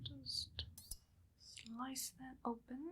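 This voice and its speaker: A woman playing an evil, vain, paranoid doctor in an ASMR roleplay, speaking in a soft, hushed whisper with a calmly menacing manner. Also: high voice, very slow, background noise. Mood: coolly threatening. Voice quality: soft, whispery, with creaky fry.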